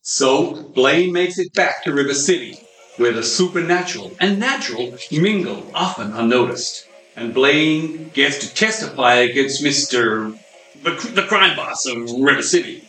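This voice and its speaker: A man acts out a chummy, theatrical narrator for an old-time radio serial. His bright, slightly high voice swings widely in pitch. The room echoes audibly. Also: animated, unhurried, soft background music. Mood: recapping.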